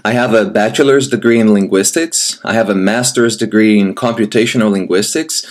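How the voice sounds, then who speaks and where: Brazilian man in his thirties teaching. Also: on the low side. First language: Brazilian Portuguese. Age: 30s. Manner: friendly, addresses the viewer directly, pauses with "um". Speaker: language teacher. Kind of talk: recorded teaching application and sample lesson.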